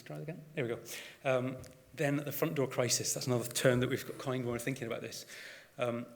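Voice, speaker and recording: A Scottish man, a GP, giving a conversational conference talk with frequent restarts and fillers. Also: medium pitch. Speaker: GP and conference speaker. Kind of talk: conference presentation. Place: large conference hall. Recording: reverberant conference hall.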